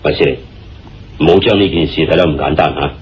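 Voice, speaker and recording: An actor playing an earnest, deliberate man confiding state secrets in a radio drama, with a Hong Kong Cantonese accent and dramatic phrasing. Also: very deep voice. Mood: earnest, secretive. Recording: dull, muffled radio-style recording. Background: background music.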